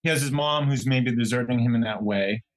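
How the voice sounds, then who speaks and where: A man co-hosting a podcast in casual true crime discussion, tentative and halting as he searches for words. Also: medium pitch. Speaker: podcast co-host.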